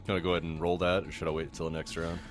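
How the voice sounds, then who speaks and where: A man's voice: deep voice, flat delivery, rapid-fire, soft background music. A man bantering casually as a player in a tabletop roleplaying game.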